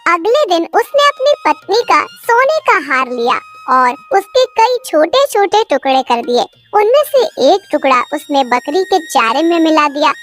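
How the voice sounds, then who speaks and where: Casting: cartoon storyteller, extremely high and lively delivery, scripted narration, children's music underneath. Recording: children's music and jingles behind the voice.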